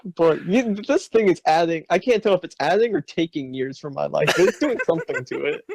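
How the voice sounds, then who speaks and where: A co-host reacting to a reading with slow, wry quips and a lot of laughter. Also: medium pitch, animated, gravelly, a little mellow, no room sound. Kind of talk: comedic chat.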